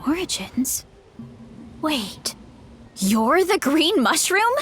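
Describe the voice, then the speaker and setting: A young adventurer hero, talks in a high, light voice. The game dialogue is conversational and wry, with lively pitch and faint music behind. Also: female voice, drawn-out words, full character voice. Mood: puzzled. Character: young adventurer hero, earnest and dryly playful. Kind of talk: scripted video game voice acting.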